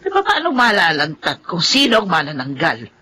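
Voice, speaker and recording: A woman's voice: low-pitched, big pitch swings, fairly quick, bright, forceful tone, a little hiss or hum, exaggerated voice. A woman playing an anxious, inquisitive mother in a horror radio drama, speaking expressively. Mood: anxious, curious. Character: anxious, questioning mother of a grown son.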